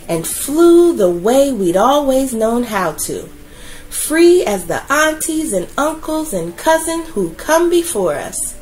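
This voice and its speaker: A woman reading a children's picture book aloud in a gentle, expressive, soothing way. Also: high-pitched, poor audio. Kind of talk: read-aloud storytelling.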